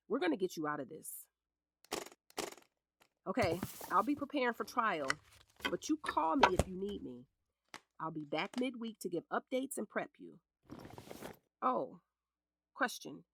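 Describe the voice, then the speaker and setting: An actress with an American accent playing a sharp-tongued, protective middle-aged lawyer and scornful ex-wife in an audio drama, blunt and commanding. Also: female voice, mid-range voice, big pitch swings, a little slow, gravelly, long pauses, slight background noise, full character voice. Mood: reassuring, focused.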